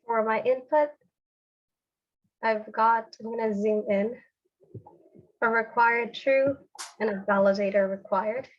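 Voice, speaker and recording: A woman with a congested cold voice, explaining a software technique step by step in a screen-share tutorial over a video call. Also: on the high side, fairly even intonation, slow pace, pauses often, dull audio. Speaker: developer demonstrating a technique.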